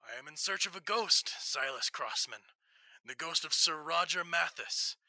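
Gravelly, stern young crime fighter played by a man. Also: fairly high pitch, expressive, pushed and bright, no echo, full character voice. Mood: quiet menace. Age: young adult. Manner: measured, ominous, accusing, formal. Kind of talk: classic 1940s radio drama acting.

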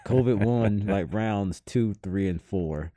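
He is a man with a fairly low voice and a warm, relaxed tone. He talks casually, like someone co-hosting a chat show. The recording is close and free of room echo. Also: slow pace. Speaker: podcast co-host. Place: studio.

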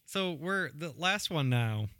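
A man's voice: on the high side, expressive, slow, bright, forceful tone, no echo, clean studio sound. A man hosting a podcast, chatting casually about music and often rephrasing as he goes. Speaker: podcast host interviewing a guest.

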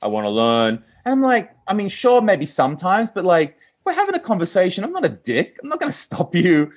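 A man's voice: high voice, brisk. A man with an Australian accent, a comedy producer talking as a podcast guest in a casual, jokey way. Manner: animated, jokey, laughs often. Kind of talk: casual interview chat.

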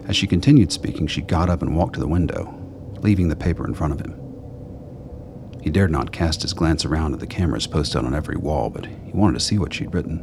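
A man's voice: extremely low pitch, a little fast, background noise. A man giving scripted narration for a radio drama.

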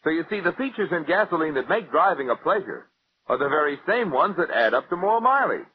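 American guy, old-time radio ad announcer, very lively pitch, polished pitchman, dull hissy recording. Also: a little fast, husky. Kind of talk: 1940s radio advertising read. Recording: muffled old radio broadcast, hiss.

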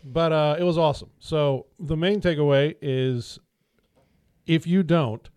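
A man's voice: fairly high pitch, measured pace, darkish tone, smooth, no echo. A man co-hosting a casual podcast from a small studio, telling rambling, self-deprecating stories. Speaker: podcast co-host. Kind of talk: casual storytelling chat.

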